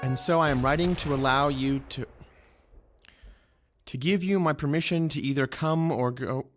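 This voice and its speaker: A man's voice: on the high side, moderate pace, soft background music, natural voice. A man in an audio drama playing an impatient, decisive military commander giving orders. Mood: agitated, resigned.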